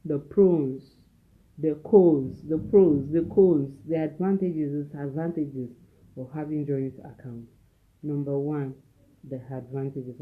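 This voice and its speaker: Soft-spoken Nigerian woman teaching, phone-like sound. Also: low-pitched. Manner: repeats key phrases for emphasis; speaking softly at home. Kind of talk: teaching talk with preaching. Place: at home. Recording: narrow phone-like audio.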